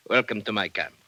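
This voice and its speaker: An actor playing a genial, cunning bandit chief in an old-time radio drama, boastful and courtly, with an Italian accent. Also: male voice, fairly low pitch, bright, forceful tone, a little hiss or hum. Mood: genial, mocking. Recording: vintage radio drama recording.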